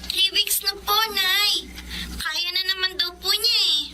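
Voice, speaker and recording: An actress playing a polite, gentle young daughter who speaks respectfully in a Filipino radio drama. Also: female voice, extremely high pitch, steady tone, unhurried, heavily acted. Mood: caring. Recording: noisy ambience behind the voice.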